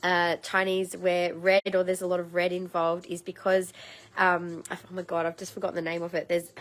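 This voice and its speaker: Croaky-voiced woman hosting a live sale. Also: lowish voice, monotone, moderate pace, soft background music. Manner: chatty and rambling, many ums. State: slightly croaky, frog in throat. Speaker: host of a live crystal sale. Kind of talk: casual live-stream sales chat.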